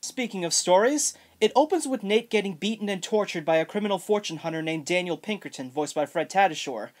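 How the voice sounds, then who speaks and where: A woman's voice: lowish voice, animated, a little fast. A woman reading a scripted video game review as narration.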